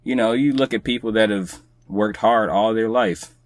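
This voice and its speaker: A man's voice: lowish voice, moderate pace, no room sound. A man speaking as a podcast guest in casual, reflective conversation, with a laid-back, colloquial, musing manner.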